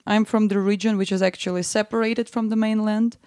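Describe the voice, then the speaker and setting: A woman's voice: mid-range voice, little pitch movement, fairly quick, darkish tone, no room sound, clean studio sound. A woman from western Russia with a Russian accent, answering casually as an interview guest.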